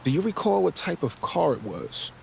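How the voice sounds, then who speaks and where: An actor playing an earnest, polite young male police officer with a measured, procedural manner in a video game cutscene. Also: fairly high pitch, sing-song, gravelly, a little mellow, slight background noise, full character voice. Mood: polite inquiry.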